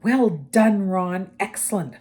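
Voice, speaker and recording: A woman's voice: a little high, huge pitch swings, a little slow, a little mellow, pitched above the performer's own voice. A woman voicing a pompous, self-important teenage boy prefect in an audiobook, airy and superior.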